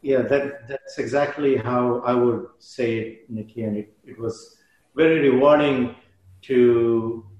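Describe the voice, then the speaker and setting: A man sharing in a meditation group over a video call, speaking slowly and haltingly with many restarts. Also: medium pitch, fairly even intonation, darkish tone, highs cut off. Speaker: participant. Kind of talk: casual sharing. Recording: very echoey room.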